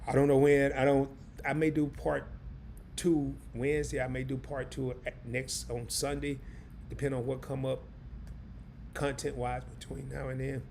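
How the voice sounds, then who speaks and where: A hoarse man hosting a live stream, chatting loosely and in slang straight to his viewers. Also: mid-range voice, flat delivery, moderate pace, a little mellow, slight background noise. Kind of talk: casual live stream chat.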